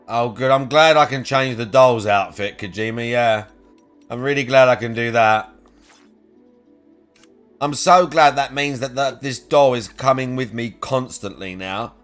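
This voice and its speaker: A young man talks over his gameplay in a chatty, sarcastic way, swearing freely. His voice sits at an ordinary middle pitch. Faint music runs in the background. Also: measured pace, a bit bright. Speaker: gaming video host. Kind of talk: casual let's play commentary.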